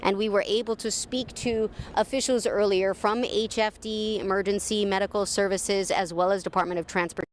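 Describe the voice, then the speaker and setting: A woman's voice: mid-range voice, steady tone, fairly quick, few pauses. A woman field reporter giving a live, partly ad-libbed TV news report. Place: outdoors on a roadside at an incident scene. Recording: noisy outdoor street with traffic.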